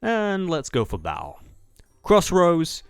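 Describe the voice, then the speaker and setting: A man's voice: high voice, huge pitch swings, takes it slowly, smooth, dry recording, soft background music. A man playing out an improvised card-duel roleplay, announcing each move with theatrical flourish.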